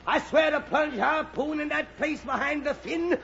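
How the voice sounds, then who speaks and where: Fierce vengeful whaling skipper yelling, really high n sharp, old radio drama, noisy muffled recording. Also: male voice, moderate pace, gravelly, exaggerated voice. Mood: furious vow. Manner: shouted, archaic, vehement declamation. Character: fierce, vengeful whaling captain hailing across the sea. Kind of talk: theatrical old-time radio drama acting.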